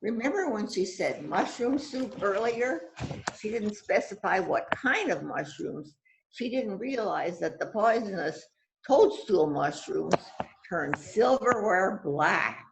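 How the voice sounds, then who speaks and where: A woman's voice: medium pitch, expressive, vocal fry, noisy background. A woman playing a self-assured, dramatic detective who bosses an assistant around in an amateur mystery play. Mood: triumphant, explaining. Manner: deliberate, dramatic, musing. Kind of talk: amateur readers theater, radio mystery acting.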